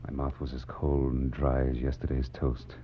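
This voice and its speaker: A man's voice: rumbling low, monotone, moderate pace, slight background noise. An actor playing a tough, wisecracking American private detective in a 1940s radio drama, clipped and wry as he acts and narrates. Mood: tense narration. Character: tough wisecracking private detective, sardonic and cool under threat. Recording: muffled vintage 1940s radio recording, music underneath.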